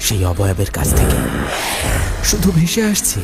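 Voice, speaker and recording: A man's voice: sits low, wildly swooping pitch, moderate pace, loud music behind the voice. A man narrating a scripted horror story in a theatrical, suspenseful way.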